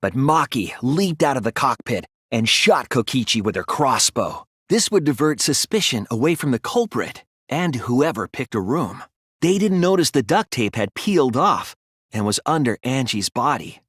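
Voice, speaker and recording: A man voicing an earnest young male student detective in a video game, explaining his deductions in a deliberate, thoughtful way. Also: medium pitch, sing-song, fairly bright tone, slightly rough, no room sound, studio quality. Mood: measured reasoning. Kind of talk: scripted video game voice acting, deduction speeches.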